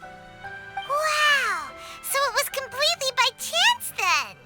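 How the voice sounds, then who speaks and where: A woman voicing a tiny, inquisitive, childlike companion with a squeaky voice in a video game cutscene, with music behind. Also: sing-song, slow, heavily acted. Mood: curious, surprised.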